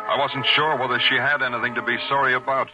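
An actor playing a sardonic police detective in 1940s radio noir, with clipped, wry, needling delivery. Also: male voice, mid-range voice, little pitch movement, fast talker, music in the background, close to an everyday voice, low-quality recording. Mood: wry narration. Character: hard-boiled, sardonic male police detective. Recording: old, rough-sounding radio drama recording.

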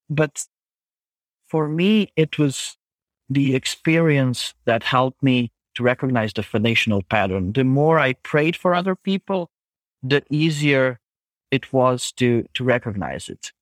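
Casting: Croatian-accented man, podcast guest, mid-pitched, earnest and halting, dry close sound. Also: no room sound. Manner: earnest, halting, with many restarts. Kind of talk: podcast conversation.

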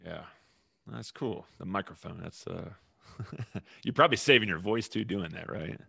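Gravelly low-voiced male interviewer. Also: a little slow, pushed and bright, no echo, studio quality. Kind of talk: interview questions.

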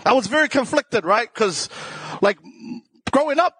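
South auckland kiwi guy doing standup style storytelling, high voice for a man, slangy and jokey, dry close sound. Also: expressive, normal speed, fairly bright tone, slightly rough, no room sound. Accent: South Auckland New Zealand. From South Auckland, New Zealand. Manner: joking, self-deprecating, slangy. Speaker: comedian telling his story on stage. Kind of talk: casual comedic live storytelling.

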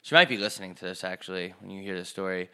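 A young man with a fairly low, bright voice chats on a podcast. He tells rambling stories in a deadpan way, swears freely and mimics the people in them. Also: rapid-fire, clear, resonant tone. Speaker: podcast co-host. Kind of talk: casual storytelling chat. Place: apartment in Arizona.

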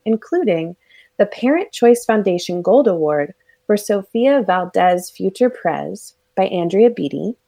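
A woman's voice: medium pitch, vocal fry, a little mellow. A middle-aged woman hosting a local business podcast, reading an introduction and then chatting with her guest in an upbeat way. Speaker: podcast host.

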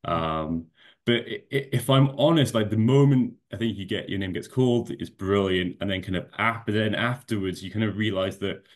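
A low-pitched man from Scotland chatting as a podcast guest. He talks in a loose, rambling way, circling back with "you know" and half-started phrases, and his voice sits deep and steady. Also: normal speed. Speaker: podcast guest, bodybuilding competitor. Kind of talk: casual conversational interview.

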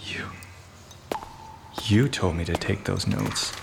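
A man's voice: low-pitched, slow, heavily acted. A man acting a put-upon detective's sidekick who turns coolly menacing, with a theatrical, sardonic delivery, in a hard-boiled comic audio drama. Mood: accusing. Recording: clear background noise with clicks.

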